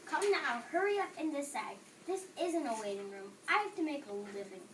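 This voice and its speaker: A woman's voice: high voice, animated, a little fast. A young woman playing a cross, miserly male baker in amateur readers theater, scolding in a stiff read-aloud way. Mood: impatient. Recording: noisy room, distant microphone.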